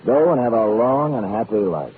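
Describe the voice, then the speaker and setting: An American actor playing a wry, hard-boiled private detective in a 1940s radio noir style, sardonic and clipped. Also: male voice, lowish voice, expressive, normal speed, a little hiss or hum, natural voice. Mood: grim, wry. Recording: vintage radio, narrow phone-like band, music behind.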